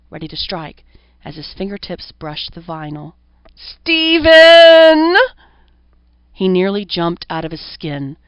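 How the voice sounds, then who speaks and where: A woman reading a horror story as an audiobook narrator, in scripted narration. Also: low voice. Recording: roomy echo, dull lo-fi sound.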